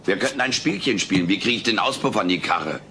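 Cheeky sardonic furry alien, lowish voice, sitcom tape w bit of noise. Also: male voice, lively intonation, fast talker, vocal fry, bright, forceful tone, exaggerated voice. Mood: wry. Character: wisecracking, self-centred furry alien living with a family. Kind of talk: dubbed sitcom audio play. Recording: noisy old cassette audio.

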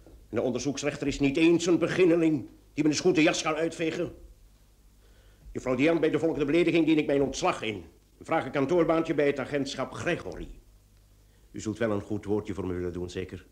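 An actor playing a hot-tempered police commissioner in a Dutch radio play, with a sarcastic, blustering manner. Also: male voice, a little high, brisk, close to an everyday voice. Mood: indignant.

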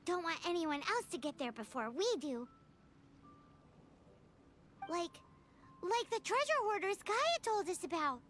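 A woman voicing a tiny, excitable, childlike fairy companion girl in an anime video game, speaking in exclamations. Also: very high voice, unhurried, pauses often, slight background noise, exaggerated voice. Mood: chatty. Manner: speaks of herself in third person, exclamatory. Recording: game music behind the voice.

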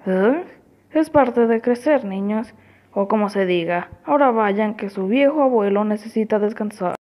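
Una mujer dando voz a un abuelo anciano, bonachón y evasivo, que ríe de forma jovial, en un doblaje de fans de caricatura. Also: female voice, medium pitch, moderate pace, a little mellow, close to an everyday voice. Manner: jolly chuckling. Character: old grandfather, kindly and evasive. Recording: music playing behind.

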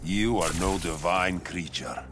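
A man's voice: sits low, expressive, measured pace, very noisy. An actor playing a proud, contemptuous godlike warrior king in a video game, pompous and stern. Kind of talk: video game fight banter.

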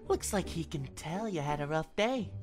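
A woman voicing a flirty, crude male spider demon in a fan comic dub, talking in a teasing way. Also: lowish voice. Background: background music.

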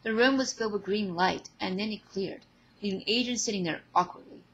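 A woman's voice: mid-range voice, brisk, fairly bright tone, poor audio. A woman reading scripted story narration as the narrator.

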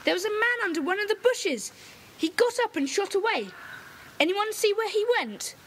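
Bossy schoolboy club leader, commanding and eager, lively high voice. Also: female voice, moderate pace, a little hiss or hum. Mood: puzzled. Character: boy leader of a children's secret club, brisk and bossy. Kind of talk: children's audio drama acting.

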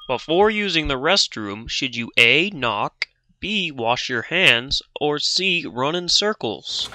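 A man's voice: fairly high pitch, big pitch swings, slow, exaggerated voice. A man playing a cheery, folksy, mildly condescending narrator in a parody of an old instructional film. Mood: folksy, upbeat. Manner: folksy, avuncular. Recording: loud music under the voice.

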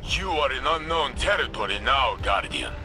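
A man playing a steady, protective warrior ally in a video game, formal and solemn. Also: medium pitch, moderate pace, soft background music, exaggerated voice. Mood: solemn, alert. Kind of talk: scripted video game dialogue.

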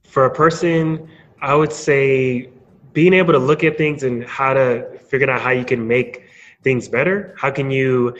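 Male podcast guest, informal and halting, mid-range voice, slightly muffled lo-fi sound. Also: medium pitch, steady tone, soft background music. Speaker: podcast guest, marketing speaker. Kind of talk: casual interview conversation.

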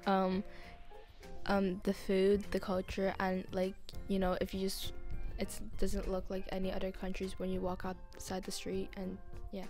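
Teen girl, very flat intonation, hesitant, faint music. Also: mid-range voice, measured pace. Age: teens. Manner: searching for words, fillers. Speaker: student. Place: school library indoors.

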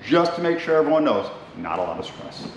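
A man in his thirties calmly explaining his boat build in a relaxed, reassuring way, filmed by a camera a few steps away in a large covered shed. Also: on the high side, brisk, lo-fi sound. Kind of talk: casual explanatory vlog. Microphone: camera some distance away. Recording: echoey noisy shed recording.

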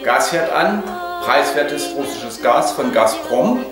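A man's voice: medium pitch, big pitch swings, takes it slowly. An older man chatting casually through a home cooking vlog, full of hesitations, on a lapel mic in his kitchen. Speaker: vlogger. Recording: echoey kitchen, mic at a distance, music behind.

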